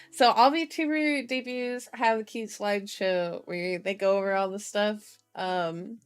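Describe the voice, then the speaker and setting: A woman's voice: medium pitch, steady tone, slow, clean tone, soft background music. A young woman streamer chatting casually in a playful, silly, giggly manner with cutesy slang, like she is hosting a livestream introduction. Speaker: VTuber streamer, channel host.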